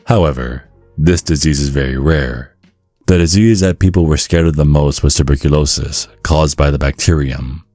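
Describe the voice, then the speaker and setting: A man reading scripted ASMR narration in a measured, storytelling manner. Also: extremely low pitch, a little mellow, dry recording, faint music behind.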